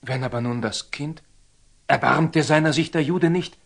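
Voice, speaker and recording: An actor playing a proud, blunt young knight with formal, terse delivery in a classic German radio drama. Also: male voice, a little high, moderate pace, creaky. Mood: indignant. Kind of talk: theatrical German radio drama acting of classic stage verse.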